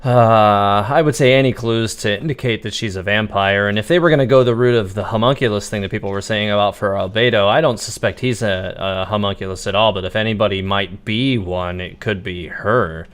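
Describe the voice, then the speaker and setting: A man's voice: fairly low pitch, a little fast, few pauses, clean tone. A man livestreaming a video game, giving casual commentary in a musing, hesitant, speculative way.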